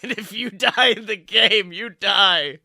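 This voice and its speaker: Bright, high-pitched male podcast host. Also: a little slow, creaky, no room sound, clean studio sound. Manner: playful, slangy. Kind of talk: casual podcast banter.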